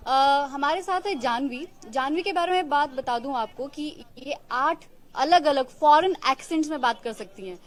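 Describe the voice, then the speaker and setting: A woman presenting a live news interview, speaking gently with small hesitations. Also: high voice, normal speed.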